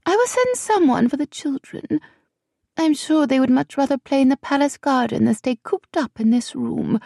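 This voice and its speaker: A small, sly queen who purrs and condescends, her voice lifted high above the reader's own, as a woman narrator puts her on. The tone is silky and breathy, sometimes sinking to a near whisper. It is recorded close and dry, with no room around it. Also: a little mellow, dry recording. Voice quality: purring, breathy whisper at times. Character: tiny scheming queen, sly and purring.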